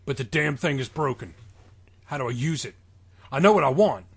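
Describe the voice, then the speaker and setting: A man's voice: mid-range voice, lively intonation, moderate pace, breathy edge. A man voicing an irritable, scheming professor villain from a wrestling parody story, with a taunting, barking delivery. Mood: frustrated.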